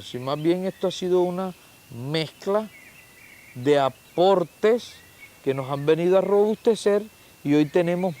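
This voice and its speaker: A man's voice: on the high side, mellow. A man from Lorica, speaking reflectively and asking rhetorical questions in an outdoor documentary interview.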